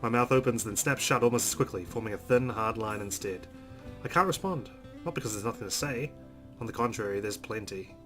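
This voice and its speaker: A man's voice: on the low side, a little fast, sharp tone. A man hosting a let's play, reading visual novel narration aloud expressively with casual asides. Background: game music.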